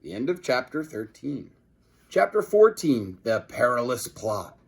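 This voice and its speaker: A man's voice: mid-range voice, very animated, a little slow, creaky, husky. A man reading a children's book aloud like a teacher, with casual asides to his listeners.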